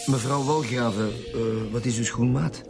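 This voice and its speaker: An actor playing a firm, probing Flemish homicide detective in a radio drama. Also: male voice, mid-range voice, moderate pace, gravelly, lightly acted. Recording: music behind the voice.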